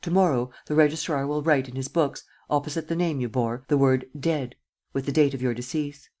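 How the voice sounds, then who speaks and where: A man voicing a coolly sardonic, persuasive prince in an audiobook, speaking with deliberate, imperious command. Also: medium pitch, fairly even intonation, darkish tone, natural voice. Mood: firm, grave. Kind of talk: dramatic audiobook character acting.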